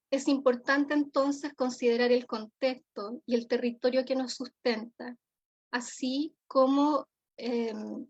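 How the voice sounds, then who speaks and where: A woman with a Chilean accent delivering a formal keynote lecture as a psychologist, in measured, solemn, deliberate phrasing. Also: fairly high pitch. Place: remote online conference. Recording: dull, lo-fi audio.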